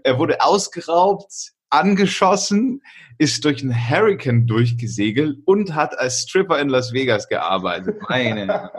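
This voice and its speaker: A man's voice: mid-range voice, slightly rough. A man hosting a podcast, giving an upbeat, chatty introduction and asking short questions. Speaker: podcast host. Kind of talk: podcast interview.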